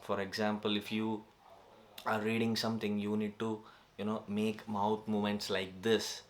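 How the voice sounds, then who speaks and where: A man's voice: fairly low pitch, completely flat delivery, moderate pace. A young man with an Indian accent, a native Kannada speaker, talking casually to camera in a vlog, hesitant and full of fillers and restarts. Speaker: vlogger. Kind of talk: casual self-improvement vlog.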